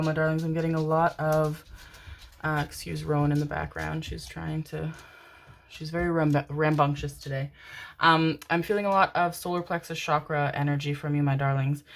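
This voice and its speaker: A young woman with a low-pitched voice talks through a casual tarot reading to camera. She rambles, fills gaps with ums, and showers listeners with affectionate pet names. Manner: affectionate, chatty, rambling with many fillers and endearments. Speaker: tarot reader.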